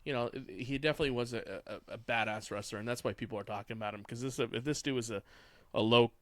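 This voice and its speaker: A man's voice: medium pitch, fast, a bit bright, dry recording. A man on a casual discussion panel, giving opinions in a blunt, rambling way with casual swearing. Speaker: podcast panelist. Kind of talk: casual opinionated sports chat.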